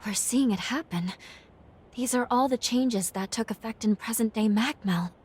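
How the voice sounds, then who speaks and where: An actress playing an earnest, determined young companion in a video game, with a husky, breathy voice. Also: female voice, a little high, normal speed, darkish tone, slight background noise, natural voice. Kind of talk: video game cutscene dialogue.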